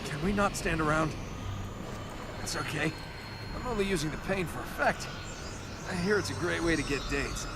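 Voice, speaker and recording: A man's voice: a little high, normal speed, pauses often, heavy background noise, close to an everyday voice. An actor playing a cocky young male adventurer in a video game, with a sardonic, breezy, joking manner. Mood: teasing. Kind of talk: video game dialogue acting.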